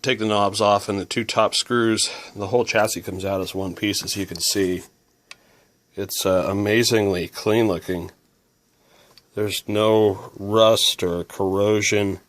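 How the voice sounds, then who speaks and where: Casual male hobbyist presenter with a lowish voice, talking through a hands-on repair as thoughts occur. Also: dry recording. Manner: musing, thinking aloud.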